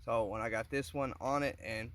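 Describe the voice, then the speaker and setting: A man with an average-pitched voice talks through a hands-on task in a casual, unscripted way. He pauses and thinks aloud as he works. The sound is a handheld phone recording inside a car, with some faint noise behind him. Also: fairly even intonation. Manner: informal, halting, thinking aloud while working. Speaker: car DIY demonstrator. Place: inside a car, close quarters.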